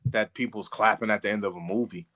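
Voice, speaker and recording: A man's voice: on the low side, steady tone, quick pace, sharp tone. Emphatic man in casual podcast chat. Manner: emphatic, repeats phrases for stress; informal slangy speech with profanity. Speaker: podcast co-host. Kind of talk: casual chat and friendly debate.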